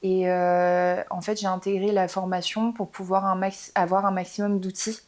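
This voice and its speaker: A woman's voice: medium pitch, little pitch movement, normal speed, a little mellow. A young woman, a band's singer, explaining her ideas thoughtfully in a casual interview, with frequent fillers. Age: young adult. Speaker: singer in a band.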